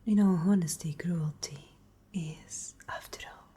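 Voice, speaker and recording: A woman playing a cruel, haughty vampire noblewoman in a whispered ASMR roleplay, breathy, deliberate and taunting. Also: on the low side, steady tone, dark tone. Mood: coolly menacing. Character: cruel, menacing noble lady vampire of a castle.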